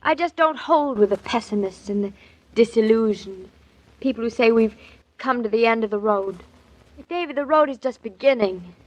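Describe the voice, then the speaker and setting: A young mom-to-be, earnest and hopeful, highish voice, old radio drama. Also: female voice, lively intonation, normal speed, lo-fi sound. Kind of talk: 1940s radio acting.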